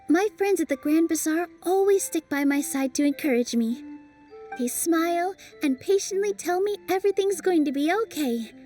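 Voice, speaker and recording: An actress playing a gentle, encouraging young woman who is a dancer. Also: extremely high pitch.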